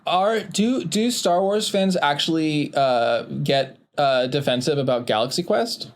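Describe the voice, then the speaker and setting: A man's voice: a little high, a little slow. A man, a player, joking in a wry way in casual chat.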